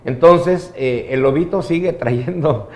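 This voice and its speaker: A middle-aged man with a gravelly, medium-pitched voice co-anchors the news. His studio chat is casual, conversational and somewhat halting.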